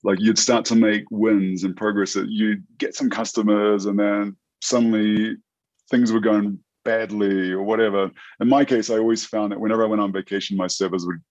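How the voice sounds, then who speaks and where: A New Zealand man in his fifties with an American accent, a tech entrepreneur talking through an interview in a halting way, full of restarts and fillers. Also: on the low side, flat delivery, a little mellow, no room sound, lo-fi sound. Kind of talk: casual interview chat.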